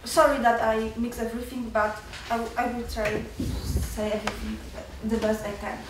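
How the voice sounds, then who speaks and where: A woman's voice: on the high side, little pitch movement, recorded from a distance, background noise. Nervous young Polish woman in a hall. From Poland. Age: young adult. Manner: halting, many restarts and fillers. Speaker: student speaker at a seminar. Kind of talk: nervous public remarks in a second language.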